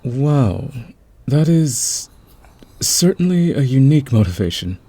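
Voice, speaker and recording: A man in an audio roleplay playing a friendly, easygoing thief with a relaxed, encouraging, conversational manner. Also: mid-range voice, takes it slowly, heavily acted. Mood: curious. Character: friendly, easygoing male thief, curious about teammates.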